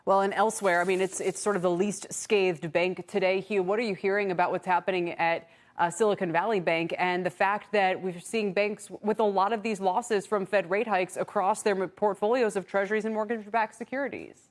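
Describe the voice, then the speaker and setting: A woman's voice: medium pitch, steady tone, a little fast. Woman anchoring live business news.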